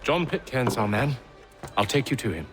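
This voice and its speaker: A man's voice: medium pitch, sing-song, moderate pace, natural voice. An actor playing a wary, loyal henchman to a conspirator in a period video game. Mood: businesslike. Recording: game dialogue under faint music.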